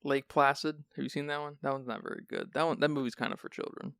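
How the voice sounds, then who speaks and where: A man's voice: a little high, fairly even intonation, quick pace, vocal fry, fairly bright tone, clean tone, no echo, studio quality. A man hosting a film podcast who sounds sleepy, chatting casually and rambling with restarts. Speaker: podcast host.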